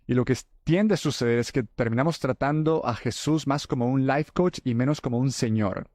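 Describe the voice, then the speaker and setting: A man's voice: mid-range voice, moderate pace, a little mellow, dry recording, clean studio sound. A man from El Salvador, hosting a faith podcast and earnestly talking straight to his listeners as friends. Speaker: podcast host.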